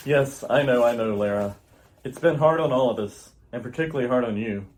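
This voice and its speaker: A man's voice: medium pitch, fairly quick, a little mellow, natural voice. A man playing an earnest, urgent alien scientist, husband and father, with grave, theatrical delivery in old-style radio theater. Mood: tender, earnest. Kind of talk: retro radio theater acting.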